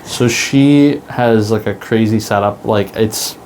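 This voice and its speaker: A man chatting casually as an interview guest, in halting, loosely built phrases full of filler words. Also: fairly low pitch, noisy background. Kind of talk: casual chat.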